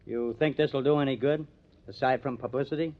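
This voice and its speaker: An actor playing a cocky young newspaper artist with a sardonic, teasing manner in an old-time radio crime drama. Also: male voice, mid-range voice, fairly even intonation, fairly quick, natural voice. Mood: wry, amused. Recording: dull lo-fi old radio recording.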